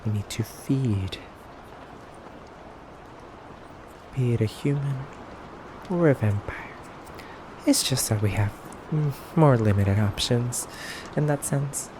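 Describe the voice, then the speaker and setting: A man playing an effeminate vampire interrogator in an ASMR roleplay, speaking softly and deliberately with teasing, condescending menace and breathy pauses. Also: medium pitch, animated, noisy background, natural voice. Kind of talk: ASMR roleplay, soft intimate acting.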